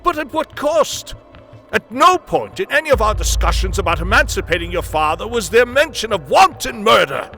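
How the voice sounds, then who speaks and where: An actor playing a loyal, principled servant, formal and earnest, in a radio play. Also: male voice, sits high, sing-song, normal speed, gravelly, sharp tone, soft background music, exaggerated voice. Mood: indignant. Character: loyal, principled male servant, anxious and deferential.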